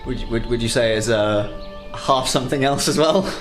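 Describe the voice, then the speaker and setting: A man's voice: mid-range voice, moderate pace. A man playing a tabletop role-playing game, trading blunt, wry casual banter. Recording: music playing behind the voice. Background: music.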